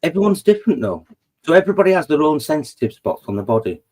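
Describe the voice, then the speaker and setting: A man's voice: on the high side, sing-song, quick pace, warm tone. A man, hosting a casual late-night chat, rambling and boasting and laughing at his own stories. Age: 40s. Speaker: host of a live chat panel.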